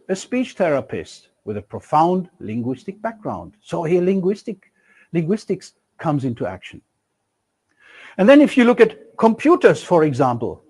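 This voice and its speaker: A middle-aged man giving a recorded university e-lecture, asking rhetorical questions and stressing words with strong swings in his delivery. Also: slightly rough. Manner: animated, rhetorical questions, emphatic swings in pitch.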